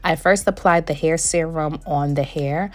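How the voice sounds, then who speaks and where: A young woman with a low voice that is still a little hoarse narrates a beauty vlog. She explains each step of a wig install in a relaxed, friendly way. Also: normal speed. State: hoarse, recovering from losing her voice. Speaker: beauty vlogger reviewing a wig. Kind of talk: product review voice-over.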